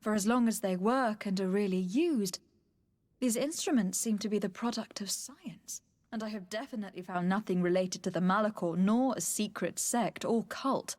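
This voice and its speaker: An actress playing a noble, principled young diplomat in a video game, speaking in a poised, formal and articulate way. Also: female voice, mid-range voice, normal speed, darkish tone, no echo, close to an everyday voice. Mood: composed, skeptical.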